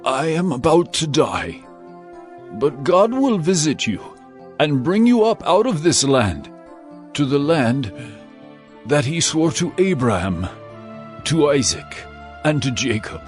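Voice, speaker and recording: A man acts out a solemn, forgiving biblical brother of high standing. His pitch sits a little high and moves expressively. The delivery is earnest and theatrical, in a scripture drama with light music behind. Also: big pitch swings, takes it slowly, long pauses.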